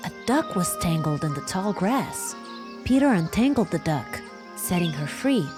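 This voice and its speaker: A woman narrates a children's bedtime tale, speaking directly and warmly to young listeners. Her pitch rises and falls in an animated, expressive way. Background music accompanies her throughout. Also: unhurried. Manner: warm, animated storytelling addressing children. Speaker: storyteller.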